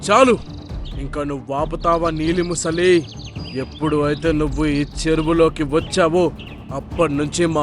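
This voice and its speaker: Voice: mid-range voice, natural voice. A man voicing a greedy, cruel crocodile villain in a cartoon, gloating and threatening. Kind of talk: cartoon character voice acting.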